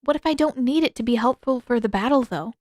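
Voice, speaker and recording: A woman voicing an impatient, playful teenage girl with an expressive, informal delivery, as in an audiobook drama. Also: on the high side, quick pace, no echo, studio quality, close to an everyday voice. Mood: earnest, teasing. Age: teenage character voiced by adult.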